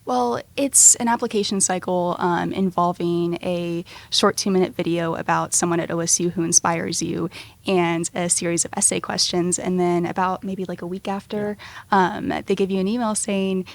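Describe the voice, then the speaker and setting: A young woman from Oklahoma with a fairly low voice and plenty of vocal fry. She answers politely and with poise, pausing on the occasional "um.". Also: fairly even intonation. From Oklahoma, USA. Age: early 20s. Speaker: student guest. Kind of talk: casual interview answers.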